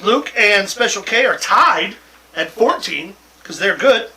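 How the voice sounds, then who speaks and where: A man's voice: sits high, lively intonation, unhurried, pushed and bright, recorded from a distance, poor audio. A man hosting a wrestling talk show with boisterous, jokey, profane banter and casual predictions.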